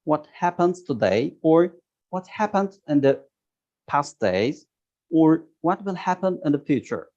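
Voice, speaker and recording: A man in his thirties with a Turkish accent, a guest on a video call interview, talking haltingly and searching for words. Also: on the high side, big pitch swings, darkish tone. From Turkey. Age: 30s. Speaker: guest, software tester. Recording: dull lo-fi video call audio.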